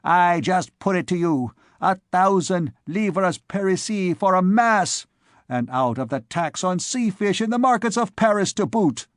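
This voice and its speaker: Storybook old crone, played by a man: raised voice, sharp tongue, scolding and indignant. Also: sits high, expressive, a little slow, no room sound, studio quality, pitched above the performer's own voice.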